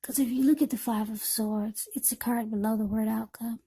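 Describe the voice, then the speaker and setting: A woman tarot reader with a husky, breathy voice, speaking informally to the viewer in short, unscripted phrases. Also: a little high, steady tone, normal speed, dark tone.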